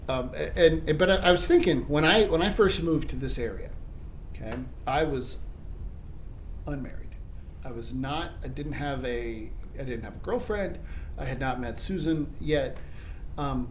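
A man in his forties with a Midwestern American accent muses through a thought experiment, restarting his sentences as he goes. His voice sits a little high for a man. A faint low rumble runs underneath. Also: measured pace, long pauses, poor audio. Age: 40s. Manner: thoughtful, musing, many restarts. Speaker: high school teacher co-host. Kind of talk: casual chat.

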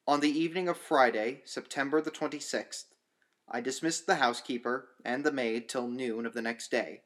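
A man with a medium-pitched voice narrates classic horror fiction. His reading is formal and literary, delivered in a measured way as scripted audiobook narration. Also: fairly bright tone.

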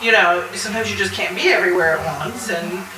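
A woman's voice: on the low side, fairly quick, far from the mic. Wry woman in an echoey, noisy room. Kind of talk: casual panel discussion. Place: live convention panel.

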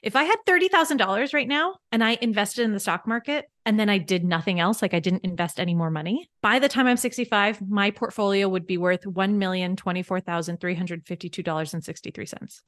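Woman coach on a podcast, eager, talks it through step by step, mid pitch. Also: fairly quick, fairly bright tone, no room sound, studio quality. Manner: enthusiastic, explains step by step with worked examples. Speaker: financial coach, podcast guest. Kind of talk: casual podcast interview.

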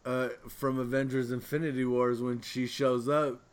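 Male podcast co-host, average pitch, informal fan-to-fan chat. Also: little pitch movement, moderate pace, clear, resonant tone. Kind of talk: casual pop-culture chat.

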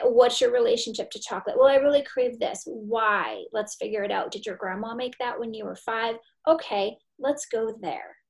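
Woman, forties, gravelly voice, higher pitch, emphatic and energetic, interview guest. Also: poor audio. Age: 40s. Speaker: guest, therapist and nutritionist. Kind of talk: podcast interview.

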